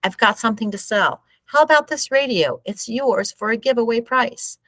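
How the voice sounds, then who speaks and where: A woman's voice: a little high, animated, normal speed, no room sound, voice pushed up. A pushy, desperate man haggling in a storybook crowd, played by a woman reading aloud. The voice is lifted above plain narration as it calls out offers, close and dry. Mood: pleading. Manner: calling out offers.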